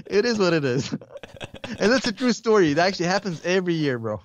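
A man's voice: high voice, sing-song, a little fast, no room sound. A man in his forties from Russia with a Russian accent, a guest in a casual interview, rambling and blunt, with swearing and jokes. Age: 40s.

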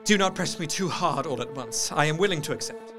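An actor playing a troubled, sceptical gentleman doctor, narrating his diary in intense, formal literary diction for a gothic drama. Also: on the high side, animated, brisk, natural voice. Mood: shaken, reluctant. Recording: music under the voice. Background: music.

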